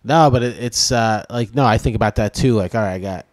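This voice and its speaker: A man's voice: fairly low pitch, brisk, no echo, clean studio sound. A comedian in his forties talking in an earnest, hesitant way, full of fillers. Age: 40s. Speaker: comedian and podcast co-host. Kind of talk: casual chat.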